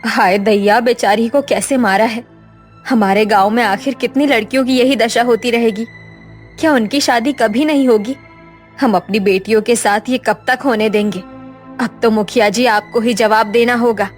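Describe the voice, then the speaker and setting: A woman's voice: fairly high pitch, steady tone, normal speed, faint music behind, natural voice. A woman voicing a worried village woman in a cartoon story, speaking in an earnest, lamenting way. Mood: worried, insistent.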